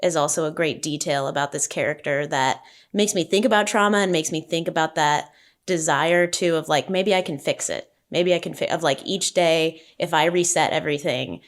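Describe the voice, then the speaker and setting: A woman's voice: sits low, moderate pace. A woman casually analysing a story, thoughtful and explanatory in an informal way. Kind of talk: casual analytical discussion of a script.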